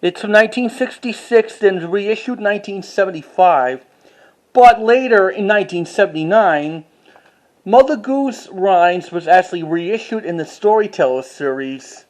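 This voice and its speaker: Halting collector doing a casual show-and-tell. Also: mid-range voice, animated. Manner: halting, with repeats and self-corrections.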